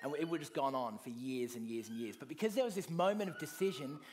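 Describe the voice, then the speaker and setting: Earnest man preaching his testimony. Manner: earnest, swings from loud emphasis to soft, low reflection. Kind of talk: personal testimony sermon.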